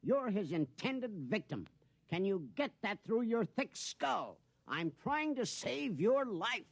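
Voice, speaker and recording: An actor playing a harried, earnest company official with a formal manner in a 1950s radio drama. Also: male voice, sits high, sing-song, takes it slowly, vocal fry, full character voice. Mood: urgent. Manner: urgent, exasperated, formal.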